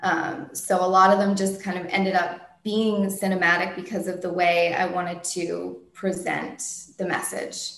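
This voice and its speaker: A woman, a singer-songwriter, answering interview questions in a soft soprano voice, thoughtful, with many ums and restarts. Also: on the low side, flat delivery, unhurried, few pauses, echoey room.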